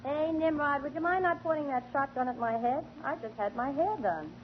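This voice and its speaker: An actress playing a snooty, sarcastic nightclub singer, in 1940s radio comedy style. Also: female voice, high voice, fairly quick, dull audio, lightly acted. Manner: sarcastic, snappy. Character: snooty brassy female singer, young adult. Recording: hissy 1940 radio broadcast, off-mic.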